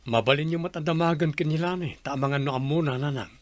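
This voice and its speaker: An actor with an Ilocano accent playing a worried grown son in a radio drama, subdued and questioning. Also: male voice, on the high side, fast talker, no echo, lightly acted.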